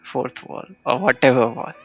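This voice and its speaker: A man's voice: mid-range voice, lively intonation, moderate pace, gravelly, highs cut off. A man giving casual, offhand live commentary as he streams a video game.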